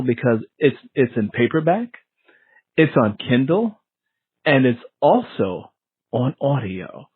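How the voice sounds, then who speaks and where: A man with a husky voice hosts a conversational interview, often restating what he has just said. The sound is dry and narrow, like a phone line. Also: mid-range voice, no echo. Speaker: interview host. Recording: lo-fi phone-like radio sound, muffled.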